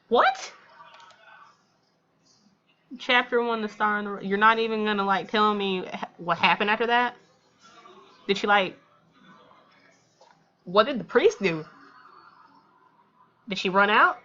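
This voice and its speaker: Girl gamer reading a visual novel out loud, casual asides, medium pitch, bit of a dull mic. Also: takes it slowly, pauses often. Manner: informal, colloquial asides. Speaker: let's player.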